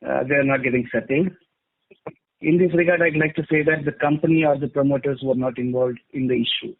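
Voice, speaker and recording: A man with an Indian accent giving a formal, measured company statement as an executive on an investor call. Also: medium pitch, steady tone. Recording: tinny phone conference line.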